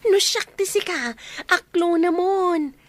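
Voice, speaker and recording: High-pitched, teasing Filipino best friend. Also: female voice, slow pace. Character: playful, teasing young female best friend. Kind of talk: Philippine radio drama acting.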